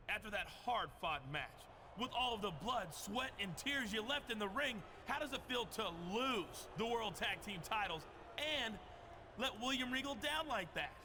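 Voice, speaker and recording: A dramatic backstage wrestling interviewer in a video game. He pitches his voice high and needles with emphatic broadcast delivery, over clearly noisy ambience. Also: heavily acted.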